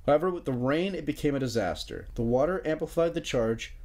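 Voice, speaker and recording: A man's voice: a little high, a little fast, darkish tone. A young American man narrating an explainer in a casual, joking way, with informal wry asides. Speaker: narrator of an amateur history explainer video.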